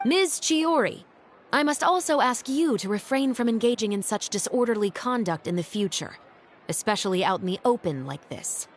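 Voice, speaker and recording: A woman's voice: on the high side, animated, moderate pace. An actress playing a stern young woman officer who speaks in a level, dryly teasing way.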